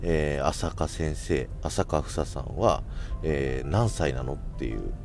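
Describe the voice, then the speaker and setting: Very deep-voiced man hosting a podcast. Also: animated, slow pace, gravelly, darkish tone, faint music behind. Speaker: host of a personal radio-style podcast. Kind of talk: casual solo talk to listeners.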